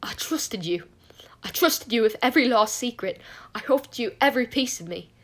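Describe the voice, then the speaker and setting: A woman acts out a wounded teenage schoolboy in a storybook. He is surly and bitter, speaking in clipped, curt phrases with a gravelly creak in the voice. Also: medium pitch, a little slow. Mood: anguished fury. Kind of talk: acted audiobook dialogue.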